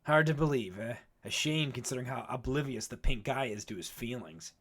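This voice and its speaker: Actor as veteran creature adventurer, brash, needling, slangy, cynical mentor, medium pitch, cartoon dub. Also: a little fast, fairly bright tone, husky. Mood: sly, knowing. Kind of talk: animated comic dub acting.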